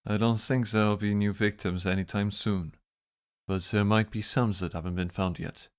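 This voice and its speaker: A man acts out a grave man of foggy Victorian London. His voice sits very low, and he speaks in a measured, guarded, fatalistic way. It is radio drama, with music playing behind him. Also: steady tone, smooth, natural voice. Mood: polite, composed.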